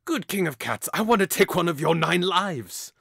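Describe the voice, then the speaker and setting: A man's voice: high-pitched, lively intonation, moderate pace, vocal fry, a bit bright, husky, no room sound, clean studio sound, heavily acted. A man playing a hot-headed, mocking young nobleman in an audio drama, with a theatrical, taunting delivery. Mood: angry, taunting.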